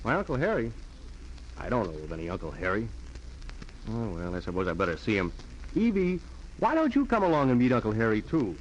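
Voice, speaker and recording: A man's voice: lowish voice, wildly swooping pitch, fairly quick, long pauses. An actor playing an easygoing, teasing idle young rich man in a 1940s radio drama. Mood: casual. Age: young adult. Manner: breezy, teasing. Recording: dull, hissy 1940s radio recording.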